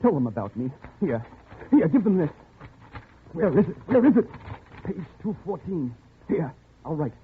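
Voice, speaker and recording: An actor playing a weary, desperate captive in an old-time radio drama, earnest and emphatic in both dialogue and narration. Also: male voice, a little high, sing-song, normal speed, pauses often, breathy edge, full character voice. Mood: desperate pleading. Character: desperate male captive of a jungle recluse, weary, pleading. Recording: tinny, band-limited vintage radio recording.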